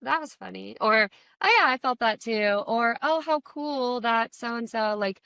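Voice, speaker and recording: A woman in her thirties co-hosting a casual podcast among friends, chatty and quick, laughing often. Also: on the high side, sing-song, sharp tone, clean tone, dry recording. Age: 30s. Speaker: podcast co-host.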